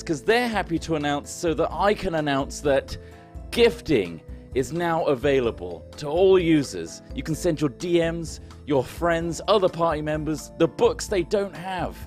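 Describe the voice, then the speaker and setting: A man's voice: fairly high pitch, a little mellow. A man doing a comic sponsor read in a mock-salesy voice, dropping into stage-whisper asides. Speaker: player reading sponsor ads.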